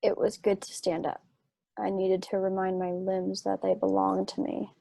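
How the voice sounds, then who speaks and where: A woman acts out an ailing, trusting wife, worn out and weak. Her voice is husky and gravelly, with a flat, dark tone fit for stage drama. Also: medium pitch, moderate pace, no room sound. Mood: weak, weary. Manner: weak, weary. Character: sick, weakened, trusting wife, slowly dying. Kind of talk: dramatic stage play reading.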